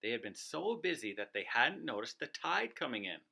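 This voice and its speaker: A man narrating a children's storybook aloud in an expressive, dramatic storytelling style. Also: medium pitch, fairly quick, gravelly, slightly rough. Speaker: co-reader of a children's read-aloud.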